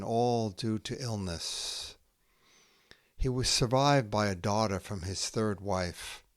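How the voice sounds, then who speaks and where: A man hosting a poetry podcast, reading scripted lines in a measured, deliberate way with long pauses between short phrases. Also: on the low side, no echo, studio quality.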